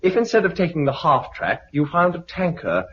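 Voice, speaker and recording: An actor playing a mild, thoughtful British survivor in 1950s radio drama style, measured and tentative. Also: male voice, a little high, big pitch swings, fairly bright tone. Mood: mild, earnest. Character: mild, thoughtful male survivor full of suggestions. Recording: muffled, noisy 1950s radio recording.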